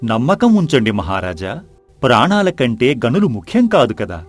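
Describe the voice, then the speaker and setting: A man acts out a shrewd chief minister from an audio folk tale. He is calm, courteous and reassuring, speaking in measured phrases with faint music behind. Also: medium pitch.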